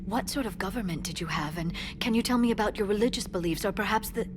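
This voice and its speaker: A woman's voice: medium pitch, fast, noisy background, close to an everyday voice. An actress playing a polite, earnest female alien scholar who speaks with careful curiosity in a video game. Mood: eager, curious. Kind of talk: dramatic video game acting. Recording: music behind the voice.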